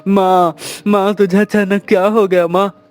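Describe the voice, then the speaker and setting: A man's voice: sits high, little pitch movement, measured pace, faint music behind. A man voicing a dutiful, caring adult son in a Hindi cartoon village story, with earnest, dramatic delivery. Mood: shocked, grieving.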